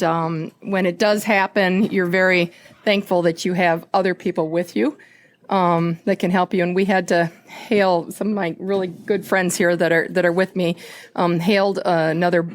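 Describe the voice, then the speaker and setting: A woman with an Upper Midwest American accent, a guest on a casual interview show, talking loudly and candidly with self-deprecating humor. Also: mid-range voice. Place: outdoors at a fishing camp.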